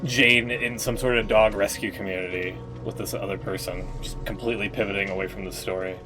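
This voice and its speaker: Lower-voiced man joking over a game. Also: steady tone, brisk, over music. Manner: chatty, joking asides with fillers. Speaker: streamer. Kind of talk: casual live-stream commentary.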